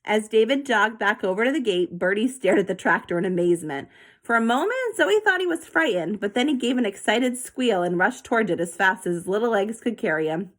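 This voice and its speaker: A woman reading a children's story aloud with an expressive, animated delivery. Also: medium pitch, a little fast. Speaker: storybook reader.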